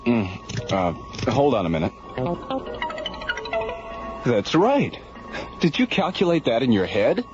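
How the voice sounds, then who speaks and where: A man's voice: mid-range voice, wildly swooping pitch, slow, long pauses. A man playing an easygoing space station flight controller in a radio drama, informal and a little hesitant. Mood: businesslike. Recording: dull radio recording with music behind.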